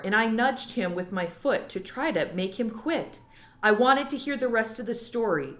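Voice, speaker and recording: A woman reading a children's book aloud in an expressive storytelling style. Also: medium pitch, echoey room. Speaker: read-aloud reader.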